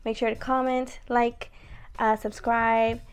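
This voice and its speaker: A young woman with a raspy voice talking casually to her viewers, chatty and full of fillers. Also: on the high side, little pitch movement, slow. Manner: chatty, many likes and ums. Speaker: vlogger. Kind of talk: casual vlog talk to camera.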